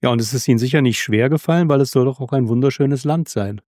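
Male interview host, formal and polite, medium pitch, dry close sound. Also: fast talker, mellow, no room sound. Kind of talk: scripted intro, interview questions.

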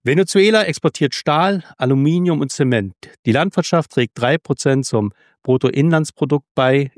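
A man's voice: mid-range voice, darkish tone, no room sound, studio quality. A man hosting an interview, reading a scripted introduction and asking polite, formal questions. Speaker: interviewer, host.

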